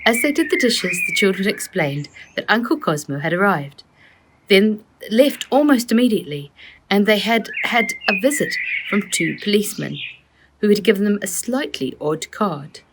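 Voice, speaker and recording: A woman author reading her children's storybook aloud as an expressive narrator. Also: mid-range voice, normal speed. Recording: faint noise and clicks.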